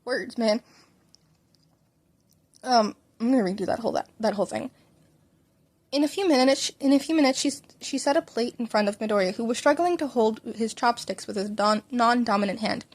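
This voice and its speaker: Higher-pitched woman reading a story informally. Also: brisk. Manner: informal, stumbles and rereads lines. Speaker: fan fiction podcast reader. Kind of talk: informal read-aloud story narration.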